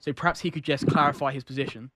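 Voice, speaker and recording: A man's voice: mid-range voice, steady tone, rapid-fire, pushed and bright, a little hiss or hum. A man with a Jamaican accent arguing insistently on a radio talk show, often repeating himself as he pushes his point. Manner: insistent, interrupts, repeats words. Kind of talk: heated radio debate.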